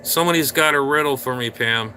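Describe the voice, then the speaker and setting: Bright-voiced man chatting to his live viewers. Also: mid-range voice, fairly quick, slight background noise. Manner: rambling, playful, talks straight to viewers. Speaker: live stream host. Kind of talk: casual live stream chat. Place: indoor room.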